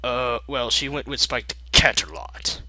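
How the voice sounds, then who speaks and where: A stranded male mech, awkward and halting, voiced by a man. The storybook robot speaks in a deadpan way with a very bright edge and a dry, close sound. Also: mid-range voice, no echo. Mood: hesitant.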